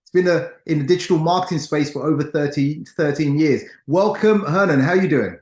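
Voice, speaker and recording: Upbeat host with a roomy echo. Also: male voice, on the high side, a little fast, a little mellow. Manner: enthusiastic, emphatic introductions. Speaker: podcast host. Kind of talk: interview podcast.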